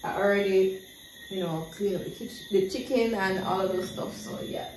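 A young woman with a Jamaican accent chatting casually through a late-night cooking vlog, relaxed and laughing often, recorded by a camera across a bare concrete kitchen. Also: mid-range voice, normal speed, distant mic, noisy background. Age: young adult. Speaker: cooking vlogger. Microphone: camera on tripod across the room. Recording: echoey room, voice from afar, loud music. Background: loud background music.

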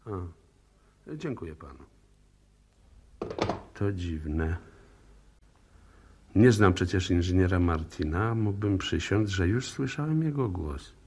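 An actor playing a brisk, persistent police inspector who questions insistently, in 1960s radio play style. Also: male voice, fairly low pitch, fairly bright tone, slight background noise, full character voice. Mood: puzzled.

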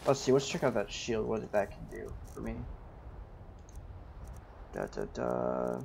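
A man's voice: medium pitch, a little fast, gravelly, darkish tone, a little hiss or hum. A man giving in-character gameplay commentary as he roleplays an adventurer in a video game. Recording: voice over game music. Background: video game music.

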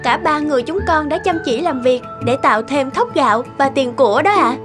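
A poor young village girl from a cartoon folk tale , played by a woman. Her voice is very high, with a polite, earnest manner, and music plays clearly behind her. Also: expressive, a little fast. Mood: confident, polite.

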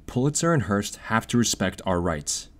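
A man's voice: on the low side, big pitch swings, moderate pace. Sensible, cautious young newsboy, male actor, earnest and reasoning, swinging intonation, audio drama.

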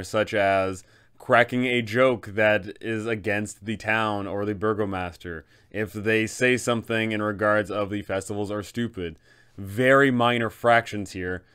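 A man's voice: fairly low pitch, a bit bright, clean tone. A man in his thirties hosting an explanatory guide for game masters, narrating with emphatic, dramatic storytelling. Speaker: host, game master advisor.

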